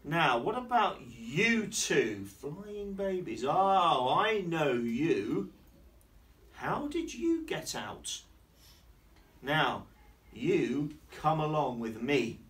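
A man voicing a kindly, indulgent daddy in a children's storybook, with drawn-out, playful questioning. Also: a little high, sing-song, fairly bright tone, pitched above the performer's own voice, full character voice. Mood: surprised, affectionate. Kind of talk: children's storybook read aloud. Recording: distant mic with faint background noise.

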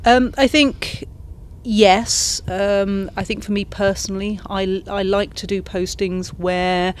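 A middle-aged British woman answering interview questions as an ambassador, in a measured, articulate way, seated indoors with a handheld mic. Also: medium pitch, steady tone, a little hiss or hum. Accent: British English. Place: indoor reception room, seated on a sofa. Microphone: handheld interview mic held by interviewer.

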